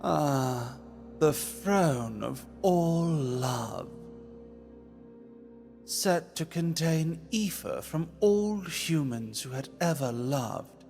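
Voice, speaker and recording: An actor playing a grave storyteller narrating a fantasy legend in a visual novel, deliberate and formal. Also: male voice, on the high side, animated, creaky, darkish tone, heavily acted. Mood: grave, dramatic. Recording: music behind.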